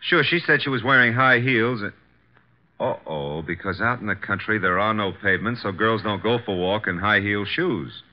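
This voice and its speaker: An actor playing a brash, breezy detective's assistant in a 1950s radio drama, talking in flippant slang. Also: male voice, on the low side, normal speed, close to an everyday voice. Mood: curious, flippant. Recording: noisy, dull vintage 1950s radio recording.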